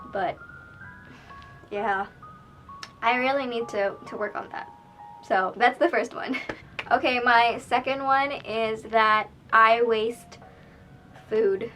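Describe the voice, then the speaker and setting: A young woman with a voice a little on the high side chats informally to her camera as a vlogger. She speaks in a confessional, conversational way from a room at home, with soft music playing underneath. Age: young adult. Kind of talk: casual confessional chat to camera. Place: small room at home, indoors. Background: soft background music.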